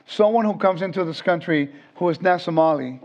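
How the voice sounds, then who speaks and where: A man's voice: sits high, brisk, a little mellow. A man in his fifties from Puerto Rico, giving an informal Bible lesson and often quizzing his class. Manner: conversational, asks the audience questions. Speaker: Bible teacher. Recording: dull lo-fi sound.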